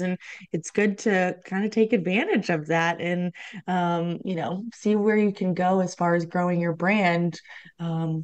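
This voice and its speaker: A woman's voice: fairly low pitch, darkish tone, clear, resonant tone. A woman hosting a podcast, upbeat and encouraging, with frequent ums. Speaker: podcast host. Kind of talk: casual interview hosting.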